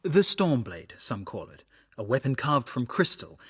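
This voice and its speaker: An actor playing an earnest, devoted, ambitious relic hunter in a fantasy video game, husky and dramatic. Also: male voice, mid-range voice, moderate pace, full character voice. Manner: dramatic, expressive.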